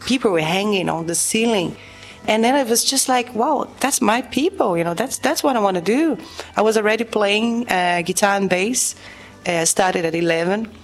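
A woman's voice: medium pitch, lively intonation, moderate pace, faint music behind. Chatty Brazilian-born woman musician. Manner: chatty, full of fillers. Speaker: guest musician. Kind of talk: casual interview chat.

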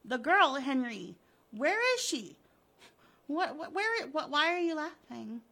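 A woman voicing a drunken, sneering, vitriolic wife from a horror comic, with mocking, gleeful jabs and shrill outbursts. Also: lively intonation, unhurried, fairly bright tone. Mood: alarmed, demanding. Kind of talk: dramatic comic-book reading.

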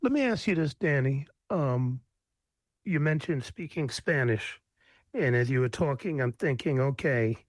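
A man's voice: mid-range voice, dry recording, rough recording. A male interviewer asking long, meandering questions full of fillers. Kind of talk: conversational interview.

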